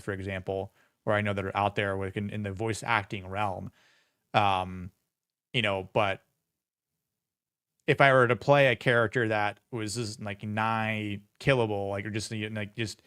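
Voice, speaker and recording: Low-voiced man rambling on a stream. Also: a bit bright, no room sound, studio quality. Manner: rambling, many fillers and restarts. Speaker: streamer and show host. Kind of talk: casual livestream chat.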